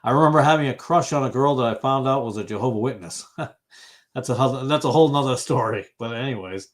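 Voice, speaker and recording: A man in his fifties from Massachusetts hosts a relaxed livestream fellowship talk, his voice sitting at an ordinary middle pitch. He rambles and thinks out loud, full of "uh"s and restarts, and answers viewers as their comments come in. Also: a little fast. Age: 50s. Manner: rambling, thinks aloud with many fillers; reacts to viewers' comments as he goes. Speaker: host of an online fellowship chat. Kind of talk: casual livestream group conversation.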